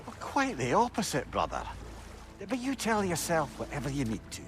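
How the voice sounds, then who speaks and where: A man playing a wry, talkative older companion in a video game, with a gently teasing manner. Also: on the high side, huge pitch swings, normal speed. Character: wry, chatty, loyal older male companion, gently needling. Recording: loud game music under the voice.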